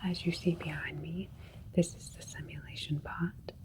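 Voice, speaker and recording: A woman voicing a calm, clinical alien doctor in a sci-fi ASMR roleplay, whispering gently and soothingly. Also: fairly low pitch, close to an everyday voice. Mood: calm, reassuring. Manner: gentle, soothing, deliberate, hushed.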